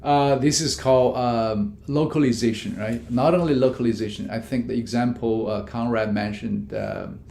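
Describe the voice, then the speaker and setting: A man, a business professor, giving measured answers in an interview with frequent fillers and restarts. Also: fairly even intonation, vocal fry, darkish tone. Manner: many fillers and restarts, non-native grammar; measured, explanatory. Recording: echoey room with faint rumble.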